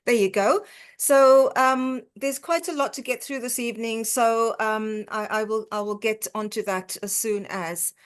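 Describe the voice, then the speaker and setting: A woman's voice: fairly high pitch, measured pace, smooth. South African woman giving a lecture. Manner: hesitant, many fillers and restarts. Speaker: dietitian lecturer. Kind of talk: online lecture presentation.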